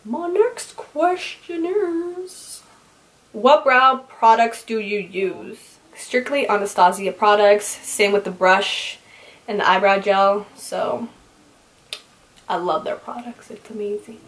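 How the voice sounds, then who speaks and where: A woman's voice: mid-range voice, slow. Chatty young woman vlogger. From California, raised in Utah. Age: 20s. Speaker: beauty vlogger. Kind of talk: casual get-to-know-me Q&A.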